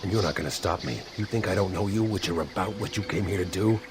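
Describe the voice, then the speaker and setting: A man playing a world-weary, ruthless mercenary zealot in a video game cutscene, speaking in a grim, deliberate, fatalistic way. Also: low-pitched, close to an everyday voice. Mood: vengeful, menacing. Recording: dramatic music loud behind the voice. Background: loud music.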